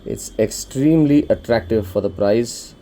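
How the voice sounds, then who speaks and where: A man's voice: fairly low pitch, moderate pace, warm tone, slight background noise. A man with an Indian accent casually presenting an unboxing for a shop, explaining things informally step by step. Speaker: shop presenter demonstrating a product.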